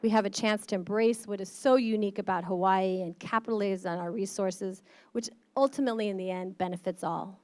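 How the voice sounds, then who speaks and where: A woman's voice: mid-range voice, steady tone, brisk, a little mellow, no echo. Earnest woman giving a conference speech. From Midwestern United States. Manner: earnest and passionate. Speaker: state board of education member.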